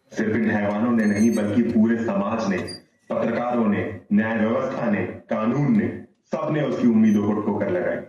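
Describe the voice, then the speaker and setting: A man acting as a solemn stage-play narrator, speaking in rhetorical, deliberate, declamatory phrases. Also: fairly low pitch, a little mellow, natural voice. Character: solemn male narrator calling society to rise. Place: stage in an echoing hall. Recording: echoey hall from far off, muffled.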